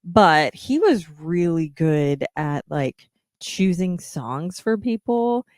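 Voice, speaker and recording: A woman's voice: lowish voice, sing-song, slow pace, a little mellow, no room sound, studio quality. A woman hosting her own podcast, talking earnestly and encouragingly to young musicians, with frequent ums. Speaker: podcast host, singer. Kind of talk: reflective solo podcast talk.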